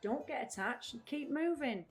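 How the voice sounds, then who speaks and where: A woman's voice: sits high, lively intonation, normal speed, soft background music. A woman acting in an audio play as a restless, literal-minded, blunt adult woman with a matter-of-fact way of talking. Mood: blunt, unbothered. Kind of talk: audio drama dialogue.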